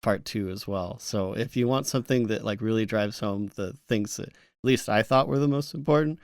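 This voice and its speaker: A man's voice: fairly low pitch, fairly quick, clear, resonant tone, no room sound, studio quality. A man co-hosting a gaming podcast, giving informal conversational commentary with joking banter. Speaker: podcast co-host.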